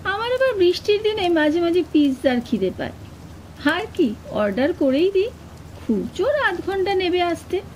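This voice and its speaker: A woman narrating a first-person horror story in an expressive, suspenseful, dramatic scripted style. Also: very high voice, moderate pace, noisy background. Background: atmospheric sound effects.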